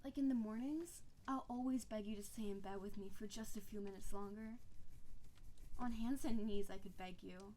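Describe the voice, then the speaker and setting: Woman acting a soft, possessive snow-spirit wife. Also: mid-range voice, moderate pace, a little hiss or hum, natural voice. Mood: needy, affectionate. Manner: tender, clingy, intense. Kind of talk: intimate scripted audio roleplay monologue.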